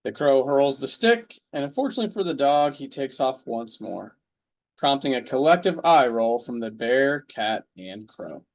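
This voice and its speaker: A man narrates a homemade radio drama table read. His mid-pitched voice keeps a plain, steady storybook reading style. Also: moderate pace.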